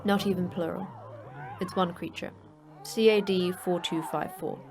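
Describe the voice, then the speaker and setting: A woman's voice: on the low side, fairly even intonation, normal speed, a crowd in the background, close to an everyday voice. An actress playing the terse, dry, matter-of-fact female manager of a secret monster facility in a sci-fi horror audio drama. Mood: dry, brisk. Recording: clear background noise.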